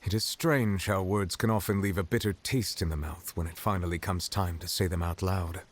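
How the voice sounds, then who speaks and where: A man playing a grave, deep-voiced dragon sovereign. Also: fairly even intonation, slight background noise, natural voice. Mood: solemn. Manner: formal, measured, solemn explaining. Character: ancient dragon sovereign in human form, grave and measured. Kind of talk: game character dialogue. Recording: game audio with music behind. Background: music.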